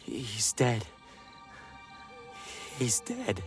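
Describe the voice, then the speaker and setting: A man's voice: medium pitch, huge pitch swings, very slow, faint music behind, exaggerated voice. A man playing an earnest, harried father who runs a small theater, in dramatic game dialogue. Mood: defeated.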